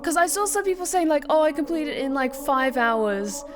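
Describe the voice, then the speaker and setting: A woman's voice: sits high, expressive, normal speed. A woman hosting a casual game livestream, chatting informally in a rambling way. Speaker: streamer and host.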